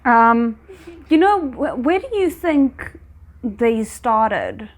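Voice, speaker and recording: A woman chats about an episode she has just watched. She thinks out loud, tripping over words and circling back with ums and likes, in an easygoing, conversational way. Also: a little high, very animated, very slow. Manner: chatty, thinks aloud with many fillers and restarts. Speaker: reaction video host. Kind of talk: casual reaction commentary.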